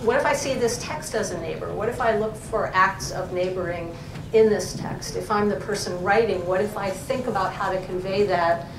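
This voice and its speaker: A woman professor presenting a journal issue to a library audience, thoughtful, pausing with frequent ums as she explains terms. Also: medium pitch. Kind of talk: academic talk to a library audience. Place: library event room. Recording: echoey room, far mic, noisy.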